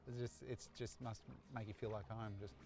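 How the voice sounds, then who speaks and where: A man's voice: fairly low pitch, flat delivery, moderate pace. An Australian man interviewing casually, with loose phrasing and plenty of "you know". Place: outdoors by a lake.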